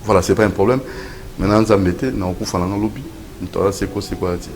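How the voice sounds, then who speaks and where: Quick-talking man hosting a chat show. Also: lowish voice, level tone, noisy background. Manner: quick, talks over the guest and corrects him. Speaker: host. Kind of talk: casual studio chat in Lingala and French.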